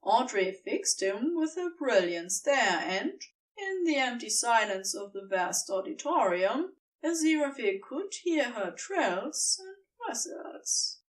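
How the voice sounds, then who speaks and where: A woman narrates a story in a fairly high voice. Her delivery is unhurried and deliberate, with lively swings in pitch and dramatic pauses for effect. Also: recorded from a distance, rough recording. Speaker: audiobook narrator. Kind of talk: expressive scripted fiction narration.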